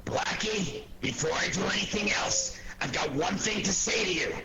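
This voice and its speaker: An American man playing a gruff, exasperated police inspector with a gravelly voice in a 1940s radio drama. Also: high voice, animated, moderate pace. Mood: irritated. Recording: very noisy vintage radio recording.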